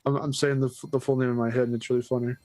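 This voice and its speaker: A man's voice: medium pitch, level tone, racing, dark tone, no room sound. A man from the United States chatting on a stream, rambling and joking with frequent restarts. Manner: rambling, jokey, restarts often. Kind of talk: casual chat.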